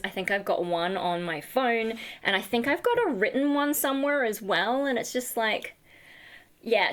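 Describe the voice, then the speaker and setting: A young woman with a high voice, rambling tiredly in a casual vlog chat, full of fillers and self-deprecating asides. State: tired, running on fumes. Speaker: vlogger and singer.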